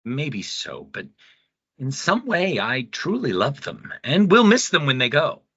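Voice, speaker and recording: An actor playing an earnest, philosophical pastor in an audio play, speaking in a thoughtful, measured way. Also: male voice, medium pitch, wildly swooping pitch, bright, forceful tone, breathy edge, no echo, muffled recording, heavily acted. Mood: wistful. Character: earnest male pastor, secretly a weary, loving god. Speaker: pastor in a debate.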